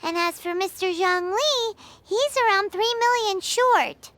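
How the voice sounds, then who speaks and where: A woman voicing a tiny, sassy childlike companion in a video game, blunt, pert and quick to be indignant. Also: very high-pitched, very noisy. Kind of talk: video game dialogue acting. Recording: music playing behind. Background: background music.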